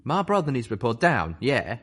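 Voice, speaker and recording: A man voicing a stern, folksy male pegasus scout with a rough drawling way of talking. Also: mid-range voice, big pitch swings, fairly quick, a bit bright, voice pushed up.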